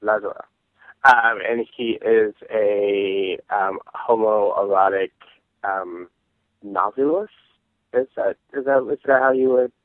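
A man's voice: on the low side, steady tone, takes it slowly, no echo. A man co-hosting a podcast, chatting casually in a hesitant way, with frequent ums. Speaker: podcast co-host. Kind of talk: casual chat. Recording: dry, tinny phone-like line.

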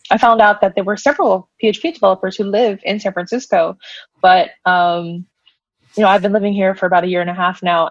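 A woman from Hawaii, a software engineer, speaking as a podcast guest in a friendly, measured way. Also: medium pitch, dry recording, muffled recording. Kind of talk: casual interview.

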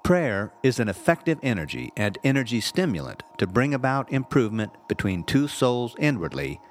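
A man reading a scripted spiritual teaching aloud in a measured, earnest, instructive manner, like an audiobook narrator. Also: medium pitch, big pitch swings, slight background noise.

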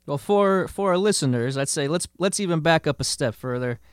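A man co-hosting a casual podcast, a little under the weather so his voice sits lower, jokey and quick to laugh. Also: expressive, no echo, clean studio sound. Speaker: podcast co-host.

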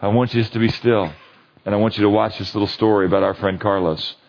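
A man's voice: lowish voice, steady tone, fast talker, creaky, lo-fi sound, a little hiss or hum. A man leading a men's Bible study, preaching in a conversational, emphatic way and often checking that listeners agree. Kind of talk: sermon.

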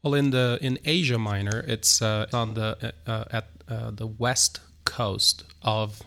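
A middle-aged man with a Turkish accent, a church planter telling his story as an interview guest, speaking haltingly with many fillers and restarts. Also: on the low side, fairly even intonation, gravelly, sharp tone. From Turkey.

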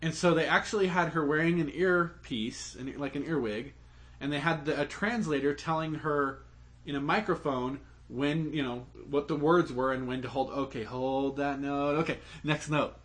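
A man's voice: fairly high pitch, fairly even intonation, moderate pace, fairly bright tone. Man explaining in a casual podcast. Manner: explains at length, with fillers and restarts. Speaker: podcast co-host. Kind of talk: casual chat.

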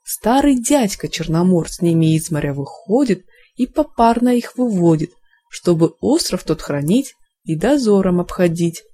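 A woman's voice: lowish voice, expressive, normal speed, dark tone. A woman voicing seafaring merchants who recount marvels in verse, in a grand, wondering manner. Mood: awed recounting.

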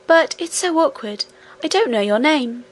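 A woman's voice: high voice, lively intonation, moderate pace, pitched above the performer's own voice. A woman voicing an innocent, stubborn little princess in a storybook, speaking with earnest, childlike politeness. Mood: curious. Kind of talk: storybook character reading.

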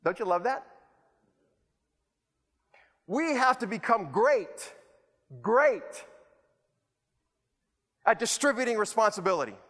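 Male preacher, high voice, rhetorical and self-mocking, echoing hall. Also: sing-song, slow, pauses often, fairly bright tone. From El Salvador. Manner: rhetorical, repetitive, self-deprecating humor. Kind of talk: sermon. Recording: long hall reverb.

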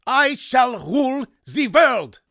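Guy putting on a wisecracking crude shop dude, sardonic, pitched up and swoopy, dry sound, story dialogue. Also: animated, moderate pace, a bit bright, dry recording. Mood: mock-grandiose. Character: wisecracking crude male art framer, smart-ass. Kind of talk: audiobook character dialogue.

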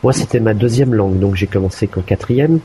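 Hesitant low-voiced man. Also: brisk, dark tone, a little hiss or hum. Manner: hesitant, many restarts and fillers; occasional throat clearing. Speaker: adult language learner. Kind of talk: casual conversation.

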